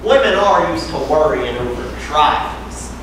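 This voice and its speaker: A man's voice: fairly high pitch, lively intonation, unhurried. A young man on a school stage playing a plain-spoken farmer neighbor, telling his account in a folksy, hesitant way. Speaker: student actor. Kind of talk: amateur stage acting. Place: high school auditorium stage. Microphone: far camera in the audience. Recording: echoey hall, far off, noisy.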